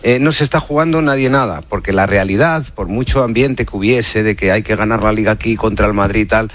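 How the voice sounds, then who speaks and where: A man's voice: on the low side, a little fast. A man debating football as a pundit on live sports radio, pushing his point and repeating it.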